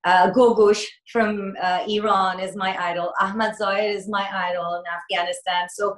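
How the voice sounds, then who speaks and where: A woman's voice: mid-range voice, little pitch movement, normal speed. Woman singer answering interview stuff, lots of ums and restarts, roomy echo. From United States. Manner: hesitant, many fillers and restarts.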